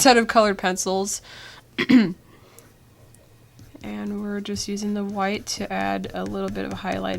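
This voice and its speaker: A woman's voice: medium pitch, creaky, a little hiss or hum. A young woman hosting a drawing tutorial, talking casually with many ums, her voice still weak from a cold. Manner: relaxed, chatty, with many ums and uhs. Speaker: art tutorial host. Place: indoors at a drawing desk.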